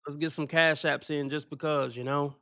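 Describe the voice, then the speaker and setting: A man's voice: a little high, steady tone, normal speed, pushed and bright, no echo. A man hosting a livestream, reacting to a played clip with blunt, mocking commentary. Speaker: livestream host reacting to clips.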